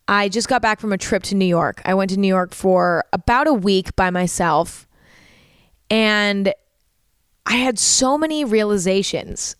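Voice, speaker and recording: A woman's voice: medium pitch, clear, resonant tone, dry recording, clean studio sound. A young woman, hosting a podcast in rambling casual chat full of "like" and "um". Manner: chatty, rambling, uses "like" and "um" a lot. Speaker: podcast host.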